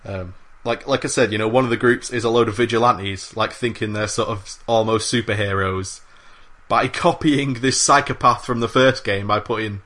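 A man co-hosting a podcast in casual chat. His voice sits a little low but rings bright and forward. He talks with enthusiasm, with frequent fillers and casual swearing. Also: steady tone, normal speed. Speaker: podcast co-host.

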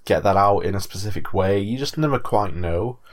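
Low-voiced man hosting a podcast. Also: a little fast. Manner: frank, swears casually. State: has a cold. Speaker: podcast host. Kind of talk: casual podcast chat.

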